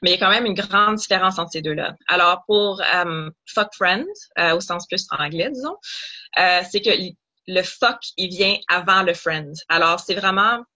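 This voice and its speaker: A woman's voice: on the low side, bright, forceful tone, muffled recording. A young woman from Ottawa, a doctoral student guest in an interview, explaining at length with many fillers. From Ottawa, Canada.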